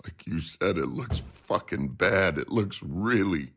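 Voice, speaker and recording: An actor playing a rough, worried man in a video game, blunt and uneasy. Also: sits low, animated, a little slow, a bit bright, exaggerated voice. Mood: anxious, defensive. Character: rough, worried working man protesting his innocence. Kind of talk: dramatic video game acting.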